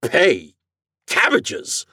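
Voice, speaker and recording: A huffy, haughty gentleman voiced by a male narrator from a comic storybook. He is indignant and emphatic, with a raised pitch that swoops around and a dry, close sound. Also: huge pitch swings, unhurried, no echo, exaggerated voice. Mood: outraged. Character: huffy, haughty gentleman customer, easily outraged.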